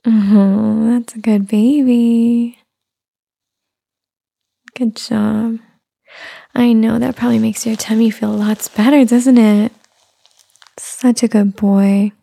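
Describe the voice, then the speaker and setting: A woman in a soft-spoken roleplay, whispering and cooing in a soothing way. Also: a little high, little pitch movement, takes it slowly, long pauses. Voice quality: whispery, breathy. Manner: cooing, soothing, talks as to an infant. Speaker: roleplay performer.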